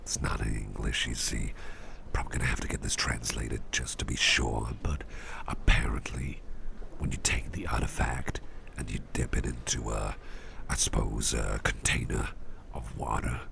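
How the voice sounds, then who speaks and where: A man performing an ASMR roleplay as an attentive boss, speaking in a gentle, reassuring, breathy half-whisper with an unhurried delivery. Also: extremely low pitch, fairly even intonation, noisy background. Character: soft-spoken, attentive businessman boss who researches legendary artifacts. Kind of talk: ASMR roleplay, intimate one-sided chat.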